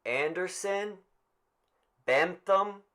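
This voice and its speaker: A man voicing a brisk, formal village official calling out to people in an audiobook. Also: sits high, pushed and bright, pitched above the performer's own voice, full character voice. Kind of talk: audiobook character voice.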